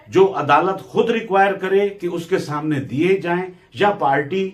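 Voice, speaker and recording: Pakistani guy teaching law, really slow and deliberate, voice a bit on the high side, drops in english terms. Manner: didactic, deliberate, switches between Urdu and English legal terms. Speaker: law lecturer. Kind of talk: instructional lecture.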